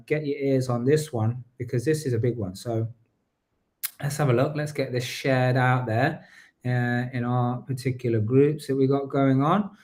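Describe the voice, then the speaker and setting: A man hosting a live online talk show, talking energetically to viewers and his guest, often repeating himself. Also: medium pitch. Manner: energetic, repeats himself, asks the audience to engage. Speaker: host.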